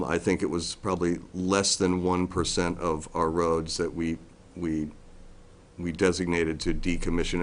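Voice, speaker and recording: A middle-aged man with a very deep, booming voice gives an informal briefing as a forest ranger in a town meeting room. He talks in a flat, even tone, with plenty of ums and restarts. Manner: loud, plainspoken, with frequent ums and restarts.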